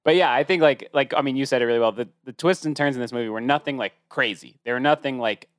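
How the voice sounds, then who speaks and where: A man's voice: medium pitch, clear, resonant tone, no room sound, studio quality. Rambling man in a casual podcast chat. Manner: rambling, halting restarts. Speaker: podcast co-host.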